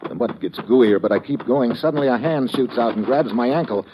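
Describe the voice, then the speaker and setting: A man's voice: mid-range voice, fairly quick, background noise, natural voice. An actor playing a tough, wry undercover agent who narrates his adventure tersely in 1950s radio style. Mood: tense, terse. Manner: terse, hard-boiled, wry. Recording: dull vintage radio recording, music underneath.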